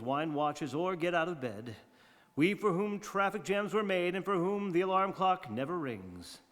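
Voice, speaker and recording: A man's voice: on the high side, lightly acted. A man playing a grandiloquent, wry 1930s radio host in theatrical monologue, eloquent and self-mocking. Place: community theatre radio play staged live. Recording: reverberant hall.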